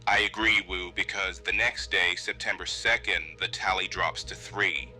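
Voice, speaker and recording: A man playing a pragmatic, harried computer technician in a horror film, explaining things in a measured, slightly defensive way. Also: deep voice, steady tone, sharp tone, soft background music. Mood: matter-of-fact. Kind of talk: found-footage horror film acting.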